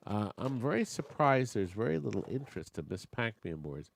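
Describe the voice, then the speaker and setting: Gravelly man running a chatty auction. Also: fairly low pitch, big pitch swings, moderate pace. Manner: chatty, joking asides to bidders. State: losing his voice. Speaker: auctioneer and show host. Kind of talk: live online auction chat.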